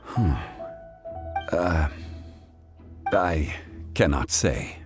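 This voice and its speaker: A man voicing a calm, wise ancient god in a video game, speaking in a measured, formal way. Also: very deep voice, loud music behind the voice, exaggerated voice. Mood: regretful, firm. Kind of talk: scripted video game dialogue.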